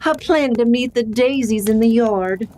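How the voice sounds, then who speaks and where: High-voiced feisty frontier ranch widow played by a woman. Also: moderate pace, dark tone, close to an everyday voice. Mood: sad, resolute. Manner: folksy frontier drawl and slang. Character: tough widowed Old West ranch woman, feisty and plainspoken. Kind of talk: old-time western radio play acting.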